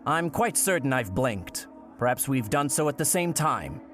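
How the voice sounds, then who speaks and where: A man playing an unnervingly calm, knowing questioner in a horror audio drama, speaking in a measured, precise, insinuating way. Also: medium pitch. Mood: cool, probing. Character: unnervingly calm, probing male listener with sinister knowing air. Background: prominent background music.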